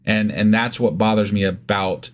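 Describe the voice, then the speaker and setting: A man's voice: fairly low pitch, steady tone, normal speed. A man co-hosting a podcast in casual conversational commentary, informal and emphatic, with some swearing. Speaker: podcast co-host.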